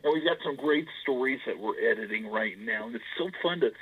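A man with a husky, slightly rough voice chats as an interview guest. He is loud and animated, and his pitch jumps all over as he tells his stories. He acts out the people in them and laughs often. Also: brisk, phone-quality sound. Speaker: author and podcast guest. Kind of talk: casual interview chat.

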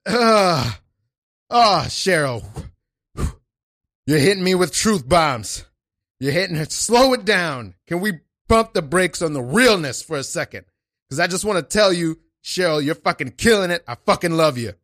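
A man playing an intense, swearing man at a support-group meeting who loudly declares his love for everyone. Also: high voice, lively intonation, a little slow, dry recording, clean studio sound, voice pushed up. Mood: fervent. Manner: loud, swearing, overly emotional declarations of love. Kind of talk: improvised comedy scene.

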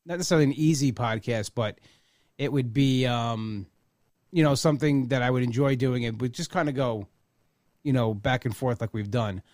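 A man's voice: medium pitch, normal speed, a little mellow, no echo, studio quality. A man of forty hosting a podcast, talking casually and rambling with many restarts. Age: 40s. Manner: rambling, restarts often, says like a lot. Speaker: podcast host.

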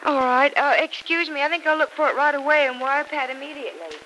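An actress playing an excitable, warm-hearted sister in an old-time radio soap opera. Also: female voice, sits high, fast. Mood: concerned. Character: excitable, warm-hearted sister fretting over her missing brother. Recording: noisy vintage radio recording.

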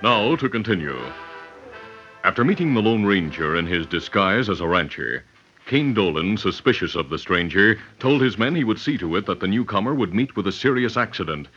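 Deep-voiced man narrating an old radio adventure. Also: animated, normal speed, a bit bright. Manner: dramatic announcer delivery. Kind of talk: 1940s-50s radio adventure narration, scripted. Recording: dull old radio recording with music.

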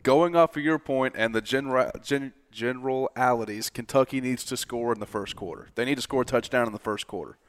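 A man's voice: mid-range voice, fairly quick, hardly any pauses. A young man riffs in a loose, joking way with his co-hosts on a sports podcast. His voice trails off into a long reverb, as if the room is big and hard-walled. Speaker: podcast co-host. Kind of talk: casual sports chat.

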